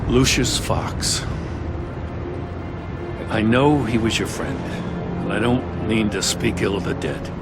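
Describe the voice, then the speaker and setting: A man acting a gruff, stubborn middle-aged police commissioner in a video game, blunt and world-weary, with an American accent. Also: on the low side, lively intonation, slow, pauses often. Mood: hesitant, weary. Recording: loud music behind the voice.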